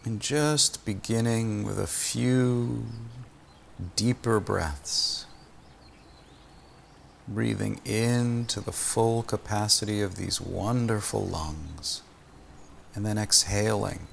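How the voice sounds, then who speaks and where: A man leading a guided meditation as a teacher, speaking gently and soothingly, with long pauses between phrases. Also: on the low side, creaky, a little hiss or hum.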